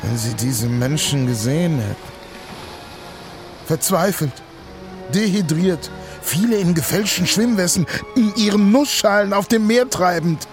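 An actor playing an earnest, impassioned sea rescuer in a theatrical monologue, speaking deliberately with dramatic pauses. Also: male voice, high-pitched, expressive, over music. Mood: grave, urgent. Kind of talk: theatrical stage monologue, satirical revue.

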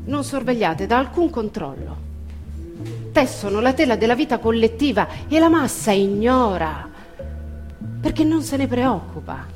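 Woman, fairly high voice, theatrical monologue, emphatic delivery, deliberate pauses, music behind. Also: big pitch swings, darkish tone. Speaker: actor reading a political text. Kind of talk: theatrical dramatic monologue reading. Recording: music under the voice.

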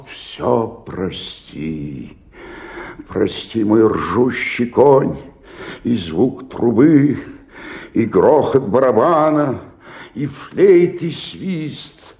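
An actor playing a drunken old provincial stage actor in a radio drama, declaiming grandly and swinging between rapture and despair. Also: male voice, medium pitch, darkish tone. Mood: tragic declamation. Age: older. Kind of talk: theatrical radio drama acting with declaimed verse.

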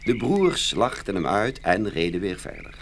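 Guy reading out a fairy tale, super lively intonation, little bit of background noise. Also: lowish voice, moderate pace. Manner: expressive storytelling. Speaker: narrator. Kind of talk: scripted fairy-tale narration.

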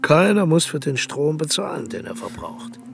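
A man's voice: fairly high pitch, big pitch swings, moderate pace, vocal fry. An actor playing an eccentric aging male dictator in a radio play, grandiose and self-justifying, dropping from outrage to whispers. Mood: dreamy. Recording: music bed under the voice.

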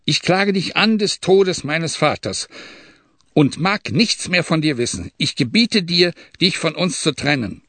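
Guy putting on a raging proud young sheikh, barks orders, voice jumps up high, dry close sound. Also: lively intonation, measured pace, dry recording. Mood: furious. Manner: shouting, commanding, accusing. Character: furious proud young male Bedouin sheikh. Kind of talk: audiobook reading.

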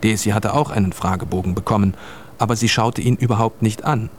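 Low-voiced male storytelling narrator. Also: steady tone, fairly quick, a little hiss or hum. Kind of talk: scripted story narration for a children's radio play.

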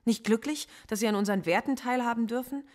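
A woman's voice: a little high, a little mellow, lightly acted. Defiant, cerebral female professor played by a woman. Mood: bitter, lecturing. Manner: measured, argumentative, coolly articulate. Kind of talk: German radio play acting.

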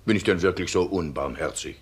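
An actor in a German radio play, playing a domineering, self-righteous mill owner who blusters and commands. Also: male voice, low voice, fairly quick, a little hiss or hum, natural voice. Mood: self-righteous, lecturing.

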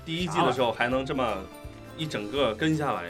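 A man's voice: medium pitch, fairly quick, over loud music. A man talking casually as part of a voice cast answering questions. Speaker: voice actor on a cast Q&A panel. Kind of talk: casual group chat.

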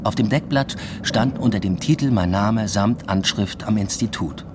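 Low-voiced, understated male narrator played by an actor. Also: normal speed, background noise, lightly acted. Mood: restrained. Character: restrained male university lecturer telling his story. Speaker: narrator and lead. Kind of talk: scripted first-person radio play narration.

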